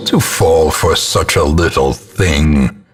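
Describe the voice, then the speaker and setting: A very deep, husky old ghostly ancestor, narrates a gothic horror game. The delivery is very slow, solemn and portentous, with ornate theatrical weight. The character sounds grim and ominous, as if presiding over a doomed estate. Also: male voice, exaggerated voice. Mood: grim foreboding.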